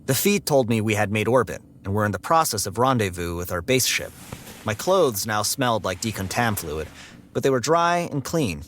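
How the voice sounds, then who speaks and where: An actor playing a guarded, socially averse security android who tells its story in a dry, sarcastic, deadpan way. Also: male voice, lowish voice, normal speed, slight background noise. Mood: dry, matter-of-fact. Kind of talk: first-person audiobook narration and dialogue.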